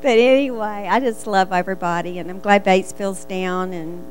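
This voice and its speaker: Middle-aged texan woman, pastor's wife talking to her church, stops and restarts, soft music under. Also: mid-range voice, steady tone, rough recording. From Texas. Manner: halting, heartfelt, with restarts and soft laughs. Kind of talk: church testimony.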